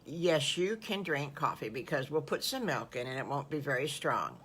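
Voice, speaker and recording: Grandmother as a calm, soothing farmer. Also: deep voice, a little hiss or hum, pitched below the performer's own voice. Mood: gentle. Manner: soothing, slow and patient. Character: calm, gentle old farmer husband.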